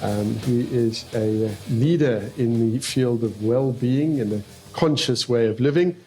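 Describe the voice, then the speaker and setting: A man's voice: mid-range voice, measured pace, mellow, soft background music, rough recording. A man hosting an interview podcast, hesitant, with many fillers between phrases. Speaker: podcast host.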